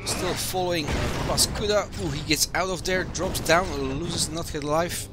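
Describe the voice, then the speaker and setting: Dutch man caster with a cold, steady play-by-play, higher voice, loud game music. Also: normal speed. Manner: calm running play-by-play. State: has a cold. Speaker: esports stream caster. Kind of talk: live game commentary.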